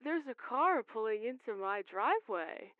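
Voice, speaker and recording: A woman playing a goofy, stoned teenage boy phoning a radio show in an audio drama, loose, slangy and excitable. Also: on the high side, normal speed, smooth, dry recording, phone-quality sound, lightly acted. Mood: goofy, amused.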